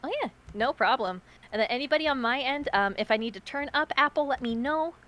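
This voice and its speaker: A young woman hosting a streamed collab in casual chat, loud and bubbly, laughing often and poking fun at herself. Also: high voice, lively intonation, fairly quick. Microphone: streaming mic over voice chat.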